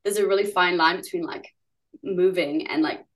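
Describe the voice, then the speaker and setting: A woman's voice: fairly low pitch, normal speed. A young woman from New Zealand, a musician guest chatting casually in an interview, full of "like" fillers and giggles.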